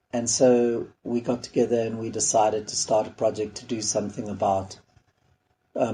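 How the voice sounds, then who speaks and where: A man, a school project founder, speaking in a measured, earnest documentary interview. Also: fairly low pitch, mellow, low-quality recording.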